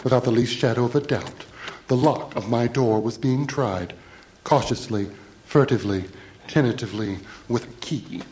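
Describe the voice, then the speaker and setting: An actor playing an anxious, haunted traveller, narrating a horror radio drama in literary, ominous phrases. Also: male voice, medium pitch, darkish tone, slight background noise, natural voice. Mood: uneasy dread. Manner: literary, measured, ominous, urgent under stress. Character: anxious, haunted traveller recounting his ordeal.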